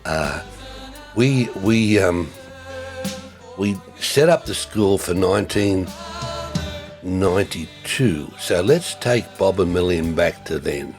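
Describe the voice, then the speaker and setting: An older man, giving a reflective tribute in a halting way with many fillers and restarts. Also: low-pitched, animated, over music. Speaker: former ministry co-worker.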